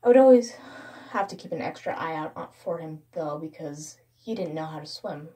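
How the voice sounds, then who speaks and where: A woman with a somewhat low voice reads a horror story. She narrates in the first person as a creepypasta storyteller. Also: animated, moderate pace, dark tone, husky.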